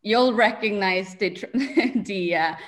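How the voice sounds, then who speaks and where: A woman's voice: mid-range voice, slow. Swedish-accented woman, chatty guest. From Sweden. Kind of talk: casual video interview.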